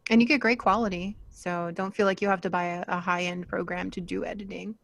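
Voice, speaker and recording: A woman's voice: on the low side, fairly even intonation, a little fast, no room sound. A woman moderating a podcast panel, giving casual, plain-spoken advice in an encouraging, informal way.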